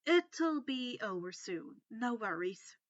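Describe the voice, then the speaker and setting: A woman's voice: a little high, big pitch swings, slow, no room sound, lo-fi sound. A woman voicing a polite, anxious but brave teenage boy wizard in a storybook, hesitant and understated. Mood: reassuring.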